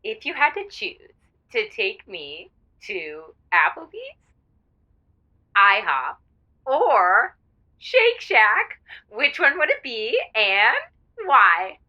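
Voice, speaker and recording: A woman playing an excitable, oversharing, jilted young caller on a radio comedy, gushing and dramatic over a call-in line. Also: fairly high pitch, drawn-out words, pauses often, exaggerated voice. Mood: confessional. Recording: dull, lo-fi phone-in line.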